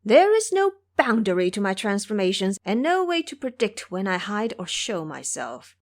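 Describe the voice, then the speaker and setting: A woman's voice: mid-range voice, big pitch swings, moderate pace, close to an everyday voice. A woman voicing a brash, boastful male monkey king with a commanding, exuberant manner in an audio drama. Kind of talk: audio drama character acting.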